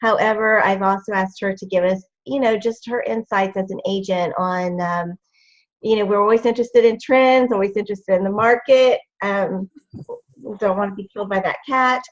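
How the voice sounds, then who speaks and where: A woman co-host giving a relaxed, casual announcement with fillers. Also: mid-range voice, normal speed, muffled recording. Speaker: co-host announcing next week.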